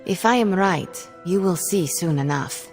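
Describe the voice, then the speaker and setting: Grave guarded game sorceress, deep creaky voice, stiff formal wording, music behind. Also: female voice, animated, unhurried. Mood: ominous, tense. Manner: terse, formal wording without contractions. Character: grave, guarded young sorceress haunted by a dark realm. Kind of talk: video game cutscene acting.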